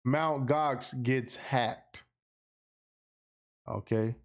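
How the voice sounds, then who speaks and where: Slow-paced American man talking casually. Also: mid-range voice. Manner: slangy, emphatic, repeats phrases for effect. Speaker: podcast host. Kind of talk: casual livestream monologue, responding to viewer comments.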